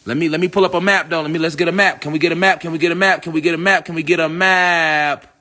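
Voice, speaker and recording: A man's voice: on the high side, steady tone, fast, bright, forceful tone. A young man ranting casually to his viewers, preachy and profane, hammering his points with repetition. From United States. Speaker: spiritual commentator. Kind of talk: casual rant to camera.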